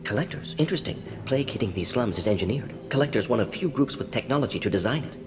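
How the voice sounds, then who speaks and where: A man voicing a brisk, brainy, restless alien scientist in a video game, talking in clipped fragments as if thinking aloud. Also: medium pitch, animated, long reverb tail, music in the background, natural voice. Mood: brisk, focused. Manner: clipped telegraphic sentences, muttering deductions aloud.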